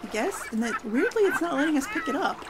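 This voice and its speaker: A woman's voice: high voice, sing-song, fast talker, loud music behind the voice. A woman casually commentating on a game with a co-player, making wry, mock-exasperated remarks. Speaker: podcast-style game-playing host.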